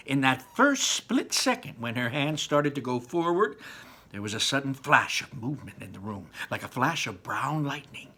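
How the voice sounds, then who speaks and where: A man reading a children's book aloud in an animated way, dropping to whispers for effect. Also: medium pitch, slightly rough. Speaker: read-aloud narrator.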